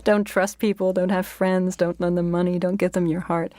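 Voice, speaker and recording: A woman's voice: fairly low pitch, level tone, moderate pace, warm tone, no room sound. A woman hosting a literary podcast, conversational and thoughtful as she puts probing observations to her guest. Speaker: podcast host and fiction editor.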